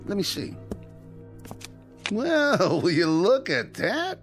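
A dim, chatty jailbird in a radio drama. His voice is fairly high and slow, swooping extravagantly in pitch, with a folksy, ingratiating manner. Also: big pitch swings, faint music behind, heavily acted. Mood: delighted. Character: dim, affable male escaped-convict brother, naive and chatty.

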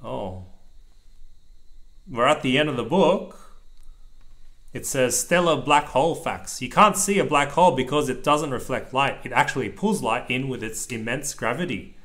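Male parent, medium pitch, storybook read-aloud for a young child with simple asides. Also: expressive, moderate pace, a bit bright. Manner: simple explanations and pointing out pictures for a small child. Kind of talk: read-aloud of a children's picture book, with short explanatory asides. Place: indoors at a table over the open book.

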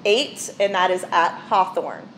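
A woman mayor chairing a village council meeting, moving briskly through the agenda in a casual way with many fillers. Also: fairly low pitch, lively intonation, a bit bright, a little hiss or hum, poor audio.

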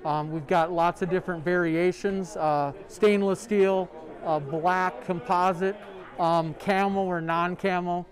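A middle-aged man, a sales rep, showing products in a large store, talking in a halting way full of ums and uhs. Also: high-pitched, fairly even intonation. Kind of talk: product demo. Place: large sporting goods store, indoors.

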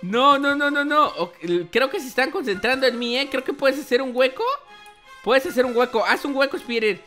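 A man's voice: very high voice, normal speed, sharp tone. A man hosting a gameplay roleplay video, commentating playfully and exclaiming with words repeated in bursts. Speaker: host of a gaming video channel. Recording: music behind the voice. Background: music.

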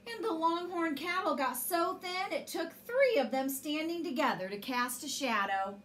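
Woman storyteller, forties, high voice, slow expressive children's reading, recorded indoors. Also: slight background noise.

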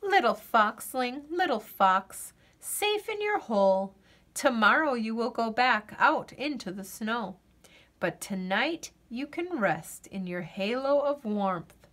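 A woman reading a children's picture book aloud as a storyteller, with gentle, expressive delivery and deliberate dramatic pauses. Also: a little high.

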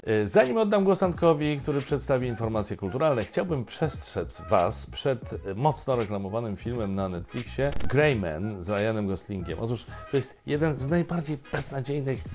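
A man's voice: mid-range voice, a little mellow. A middle-aged man hosting a satirical news show, delivering scripted commentary with ironic, emphatic asides from a home study.

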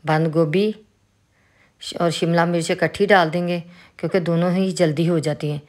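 Cooking host, woman, Pakistani Urdu accent, lowish voice, calm instructive delivery. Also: normal speed. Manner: calm, instructive, with religious greetings. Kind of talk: step-by-step recipe narration. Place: home kitchen.

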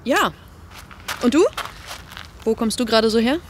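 A woman's voice: on the high side, animated, measured pace, slight background noise. An actress playing a hesitant, distracted young woman who is a conciliatory friend in a naturalistic audio drama. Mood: evasive.

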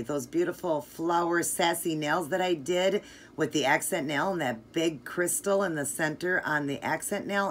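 Deep-voiced woman, middle-aged, chatty nail art tutorial, faint room hiss. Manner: chatty, enthusiastic, gushing about colors. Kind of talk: casual step-by-step tutorial narration.

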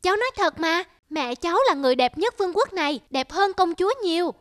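A very high-voiced innocent little child. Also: female voice, lively intonation, fast, dry recording, studio quality. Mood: innocent, earnest. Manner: guileless, earnest. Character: innocent, stubborn little child who adores mother. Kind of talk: fairy-tale cartoon dubbing.

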